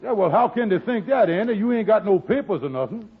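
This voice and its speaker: A scheming, excitable schemer with a high, swooping, husky voice. He comes through thin and phone-like, as on an old radio broadcast. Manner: excitable, scheming, fast-talking. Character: scheming fast-talking lodge boss, middle-aged man. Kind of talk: 1940s radio comedy acting.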